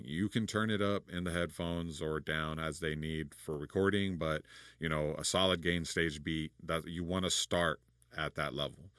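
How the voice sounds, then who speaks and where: A man with a deep voice explaining vocal recording in a relaxed, rambling tutorial, full of fillers and restarts. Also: no room sound, clean studio sound. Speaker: recording engineer and producer. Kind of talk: casual studio tutorial.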